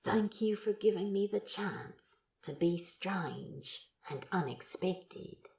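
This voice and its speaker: A woman's voice: medium pitch, animated, slow, vocal fry, a little mellow. A woman playing a smitten, awkward but earnest man on a first date in an audio story, sincere and teasing. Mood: earnest, tender.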